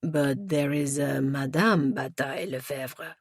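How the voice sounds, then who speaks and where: A man's voice: mid-range voice, a little slow, breathy edge, dry recording. Haughty French police inspector as read by a woman, formal and stilted, French accent, dry close audiobook sound. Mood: puzzled.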